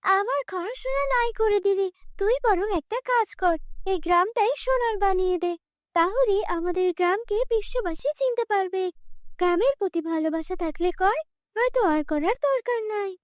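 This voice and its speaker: Woman as a greedy neighbour auntie, very high pitch, pushy, coaxing, nosy, cartoon story, dry sound. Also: normal speed, dry recording. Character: nosy, greedy, manipulative village auntie next door.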